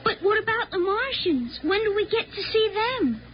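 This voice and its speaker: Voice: very high voice, big pitch swings, moderate pace. A performer playing an eager, polite, curious little boy in a 1950s radio drama. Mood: puzzled. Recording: dull 1950s radio recording, faint noise.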